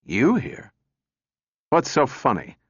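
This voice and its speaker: A man's voice: mid-range voice, huge pitch swings, slow pace, fairly bright tone, husky, pitched above the performer's own voice, exaggerated voice. A man voicing an irritable, hostile male mathematician in a storybook reading, blustering and demanding. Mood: demanding, angry. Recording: echoey room, dull muffled sound.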